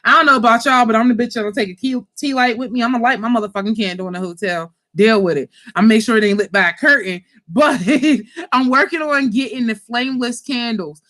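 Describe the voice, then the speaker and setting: A high-pitched woman chatting casually to her viewers about spiritual kits she sells. She is animated and talkative, swears freely and keeps calling her audience y'all. She comes from Pittsburgh. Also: brisk. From Pittsburgh, USA. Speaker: spiritual kit seller and channel host. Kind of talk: casual livestream chat to viewers.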